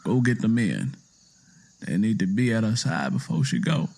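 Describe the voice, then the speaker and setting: A man's voice: fairly low pitch, sing-song, normal speed, vocal fry, darkish tone, full character voice. A man voicing a stern, bossy older Southern woman in an audio drama, urgent, folksy and scolding. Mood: grave, urgent.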